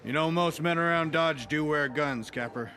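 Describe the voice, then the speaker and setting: A student actor playing a stern, watchful Old West marshal in an amateur radio play, terse and world-weary. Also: male voice, on the high side, moderate pace, sharp tone, faint music behind. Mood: measured.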